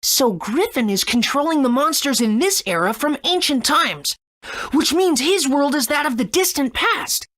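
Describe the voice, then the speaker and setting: A woman's voice: sits high, animated, moderate pace, fairly bright tone. A woman voicing an earnest young boy adventurer in a video game. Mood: earnest, curious.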